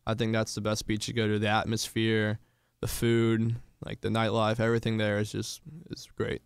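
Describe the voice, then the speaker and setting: A man's voice: lowish voice, completely flat delivery, normal speed, vocal fry, smooth, dry recording, clean studio sound. A young man from Florida, a college athlete casually answering questions in an interview. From Florida, United States. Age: young adult. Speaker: college football player guest.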